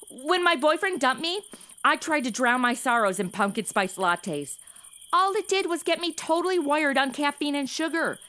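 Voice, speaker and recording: A woman's voice: high-pitched, bright, forceful tone. A woman playing a brash, oversharing modern young woman in a western comedy audio drama, with an American accent and a sassy, slangy, blurting delivery. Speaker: lead actor in a comedy audio drama podcast. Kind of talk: comedic radio-play acting.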